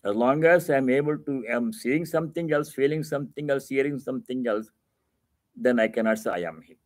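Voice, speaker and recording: A man's voice: mid-range voice, brisk, no room sound, studio quality. A man giving a religious discourse as a monk, reading scripture aloud and explaining it in a didactic, emphatic way, repeating key phrases for stress.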